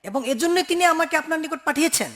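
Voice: extremely high pitch, animated, fast, a bit bright. An actor playing a dutiful, formal royal messenger in a dubbed cartoon, recorded with noise.

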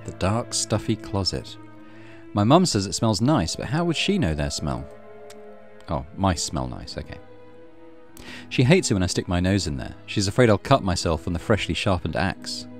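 Deep-voiced man narrating a visual novel. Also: very animated, moderate pace. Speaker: let's play host, narrator. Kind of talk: reading visual novel narration aloud with casual commentary. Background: soft game music.

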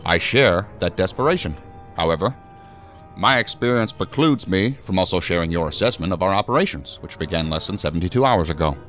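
Weary government investigator chief, deep voice, formal, wry, measured, audio drama, light music behind. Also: male voice, expressive, a bit bright. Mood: controlled, formal. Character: weary, dryly witty male federal assistant director, articulate.

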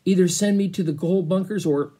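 A man's voice: high-pitched, fairly quick, mellow, slightly rough, pitched above the performer's own voice. A man voicing an earnest, plucky twelve-year-old boy in a storybook, respectful but stubborn. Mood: nervous, determined.

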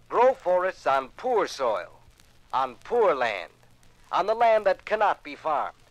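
A man playing an earnest nineteenth-century conservation crusader, declaiming deliberately in a 1950s radio dramatization. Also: a little high, rough recording. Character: earnest male 19th-century forest conservation crusader, preaching. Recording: 1950s radio transcription record.

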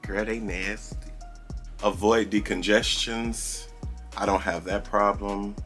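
A man's voice: fairly low pitch, steady tone, slow pace, a bit bright, faint music behind. A young man vlogging casually to camera in a small room, chatty and sassy, his voice strained as if he is losing it. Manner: chatty, sassy, addresses viewers as girl and y'all. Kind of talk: casual vlog chat to camera.

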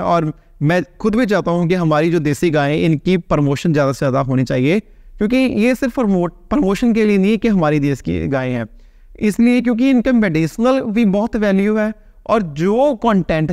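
Man, 30s, veterinarian, talking to camera, casual explainer, slightly high pitch, dry small room. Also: a little mellow, dry recording. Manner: conversational, keeps adding a checking "right?". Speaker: veterinarian answering viewer questions. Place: small plain indoor room, seated.

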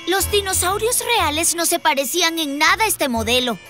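A woman's voice: very high-pitched, lively intonation, normal speed. A woman voicing a bored, critical know-it-all child in a children's cartoon, dismissive, with music behind. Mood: bored, scornful. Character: bored, critical child visiting a dinosaur park. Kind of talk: animated children's cartoon dub. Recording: background music under the voice.

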